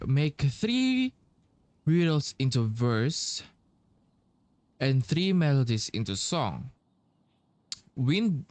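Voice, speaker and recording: A man giving casual game commentary, thinking aloud haltingly with long pauses. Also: mid-range voice, no echo. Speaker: gaming streamer playing through a story quest. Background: game music.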